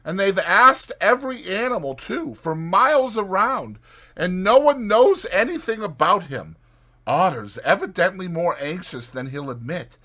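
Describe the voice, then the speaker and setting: A man's voice: high voice, animated, a little slow, bright, forceful tone, pitched above the performer's own voice, full character voice. A man voicing an earnest, worried male water rat in a dramatized storybook reading, speaking in a grave, emphatic way.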